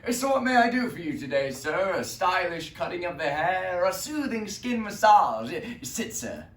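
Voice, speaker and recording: A man playing a brooding, vengeful barber who is sly and menacing, moving from hushed threats to sudden shouts. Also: high voice, sing-song, normal speed, exaggerated voice. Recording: echoey room, distant mic, faint noise.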